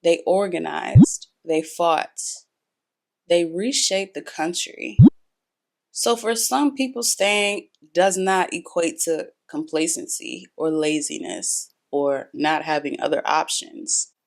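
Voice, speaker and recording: A woman hosting, giving an earnest, measured commentary voice-over. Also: on the low side, creaky. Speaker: host.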